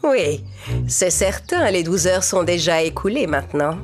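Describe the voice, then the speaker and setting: A woman's voice: lowish voice, animated, measured pace, voice pushed down. Wicked middle-aged stepmother, acted by a woman, smug, curt, commanding, storybook cartoon villain, music behind.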